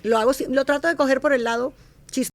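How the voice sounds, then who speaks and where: A woman's voice: high voice, steady tone, very fast, crowd noise. A middle-aged woman, telling stories about her career as a podcast guest. She restarts and corrects herself often and acts out quoted speech. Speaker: podcast guest, fashion entrepreneur. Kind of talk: casual interview storytelling.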